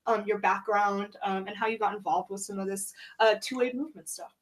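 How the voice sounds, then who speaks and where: A woman's voice: medium pitch, steady tone, brisk, poor audio. A woman hosting a casual live-stream chat, talking loosely with plenty of fillers. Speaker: host.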